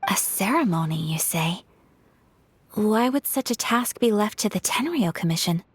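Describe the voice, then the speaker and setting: An actress voicing a refined young noblewoman in a video game, composed and courteous, with a soft, formal way of speaking. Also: medium pitch, expressive, moderate pace, breathy edge, no echo. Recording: game audio with soft music behind.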